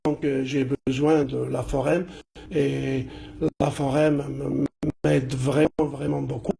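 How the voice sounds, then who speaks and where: A man from Algeria with a gravelly, mellow voice and a congested, flu-stuffed sound. He talks haltingly as a studio guest, restarting his phrases often, with a faint hiss behind him. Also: mid-range voice, level tone. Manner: halting, many restarts and fillers. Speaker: charity president, guest. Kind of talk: casual chat.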